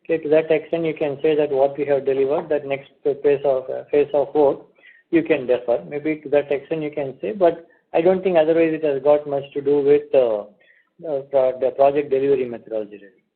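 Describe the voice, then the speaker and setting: Higher-pitched husky man on a phone. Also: steady tone, brisk. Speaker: company executive. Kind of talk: off-the-cuff answers on an earnings call. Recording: narrowband conference phone line.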